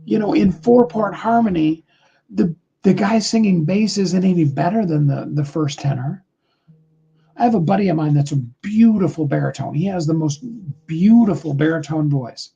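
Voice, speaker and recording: A middle-aged man with a high tenor voice, giving a rambling, earnest motivational talk on a live call, full of fillers. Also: moderate pace, gravelly, dark tone, breathy edge, muffled recording.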